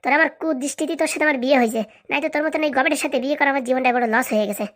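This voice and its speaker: High-pitched, rapid-fire domineering cartoon wife, acted by a woman. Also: fairly bright tone. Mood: scolding. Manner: scolding, threatening, boastful. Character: domineering, scheming, foul-mouthed middle-aged wife. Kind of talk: comic cartoon voice acting.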